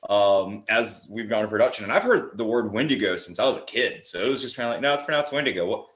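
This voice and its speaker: A man with a fairly low voice, recorded in a roomy, echoing space. He chats casually and wanders through his thoughts in a jokey storyteller's way, as a guest on a podcast. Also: lively intonation, fast talker, fairly bright tone. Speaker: guest, horror playwright. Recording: roomy, echoey sound.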